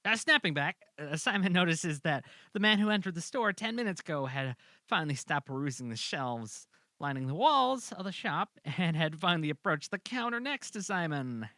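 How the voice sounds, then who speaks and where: A man's voice: a little high, expressive, moderate pace, bright, forceful tone, no room sound, studio quality. A man narrating a scripted audio drama in an informal, amateur reading style.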